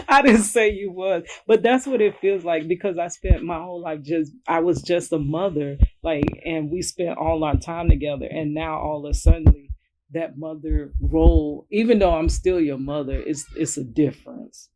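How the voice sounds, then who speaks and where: A woman in her forties speaking as a mother in a casual family chat, reflective and quick to laugh. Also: sits low, noisy background. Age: 40s.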